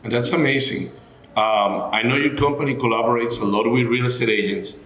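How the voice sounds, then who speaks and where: A man hosting a conversational interview, phrasing things in a halting, deliberate way. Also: medium pitch, fairly bright tone. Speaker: show host. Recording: echoey room.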